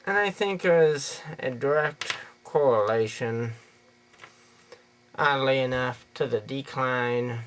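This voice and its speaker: An American man talks at an unhurried, slow pace with a noticeable creaky fry in his voice. He gives his opinion off the cuff, rambling a little in plain, folksy wording. His pitch sits in an ordinary male middle range. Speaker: video creator giving an opinion. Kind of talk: unscripted opinion monologue.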